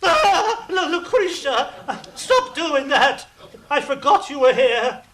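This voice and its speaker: A man's voice: very high voice, sing-song, slow, fairly bright tone, a little hiss or hum, full character voice. A man playing a weary middle-aged king who talks in a dry, sarcastic, put-upon way. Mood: exasperated.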